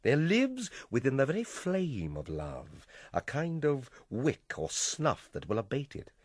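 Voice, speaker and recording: A man's voice: medium pitch, wildly swooping pitch, a little mellow, dry recording. Scheming, manipulative middle-aged king: hugely varied intonation, close dry sound, theatrical Shakespearean radio drama. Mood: persuasive, goading. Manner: theatrical, deliberate, persuasive delivery with dramatic swells.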